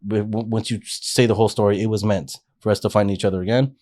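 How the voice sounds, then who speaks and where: A man's voice: on the low side, steady tone, fast talker, darkish tone. A man in his forties, a podcast guest, telling his life story in an earnest, rambling way with frequent restarts. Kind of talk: casual life-story interview.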